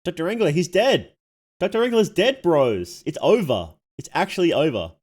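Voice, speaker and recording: A man's voice: fairly high pitch, sing-song, normal speed, a little mellow. A man hosting a livestream, giving casual reaction commentary in a slangy, jokey, blunt way. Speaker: livestream host.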